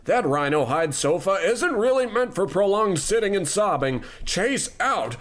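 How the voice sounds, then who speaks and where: A man's voice: sits high, measured pace, roomy sound, big-room sound. A man playing a pompous, callous tycoon. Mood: smug, bored. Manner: pompous, self-absorbed, deadpan. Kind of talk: comedy radio play acting.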